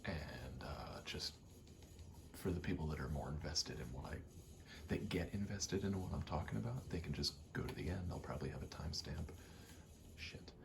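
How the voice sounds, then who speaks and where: A man in his twenties doing a casual ASMR gaming ramble, soft-spoken and often whispering, in a halting, meandering way. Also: rumbling low, gravelly. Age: 20s. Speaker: ASMR gaming video host. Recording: faint noise, game button clicks. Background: controller clicking, faint background noise.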